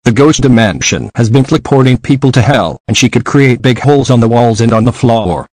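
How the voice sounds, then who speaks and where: Flat monotone male alert reader. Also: medium pitch, fairly quick, dry recording. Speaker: emergency alert announcer. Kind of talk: scripted emergency broadcast reading.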